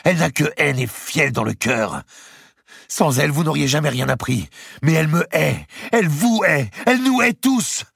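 Casting: condemned princess played by a man, defiant and cutting, hurried breathy delivery, raised husky voice, for dramatic audiobook. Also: deep voice, fairly bright tone, no room sound, clean studio sound, voice pushed up, exaggerated voice. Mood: furious, scornful. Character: defiant, spiteful condemned young princess, proud and passionate.

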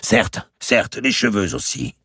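A man's voice: on the low side, big pitch swings, slow, gravelly, breathy edge, no room sound, clean studio sound, pitched above the performer's own voice, heavily acted. A man reading aloud and voicing a brutal, mocking knight who barks orders and jeers at a prisoner. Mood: jeering. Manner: loud, jeering, commanding.

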